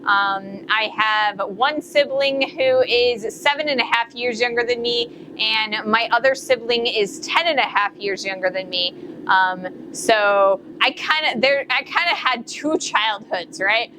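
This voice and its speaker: A woman's voice: on the high side, measured pace, noisy background. A woman in her late thirties chatting casually on a live stream while forging, rambling with many fillers and self-corrections. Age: 30s. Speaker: blacksmith streamer. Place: forge workshop.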